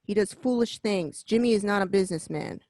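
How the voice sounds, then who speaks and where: A woman's voice: mid-range voice, brisk, a little mellow, dry recording, natural voice. A woman playing a stern, wary mob boss in an amateur radio drama read, blunt and profane, repeating points for emphasis. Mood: warning.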